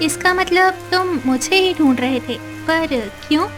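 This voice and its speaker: A woman voicing a gentle young mermaid, sweet and earnest, in a dramatised cartoon story. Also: very high-pitched, measured pace, over music. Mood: puzzled. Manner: earnest, pleading, sweet-voiced.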